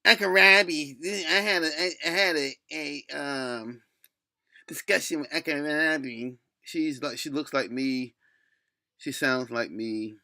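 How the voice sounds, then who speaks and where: A middle-aged man with a lisp talks in a bright, forceful voice edged with gravelly creak. He rambles through a blunt video-blog rant, digressing, cursing and restarting his thoughts. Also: a little high, slow. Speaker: solo video blogger. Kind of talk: rambling opinion rant to camera.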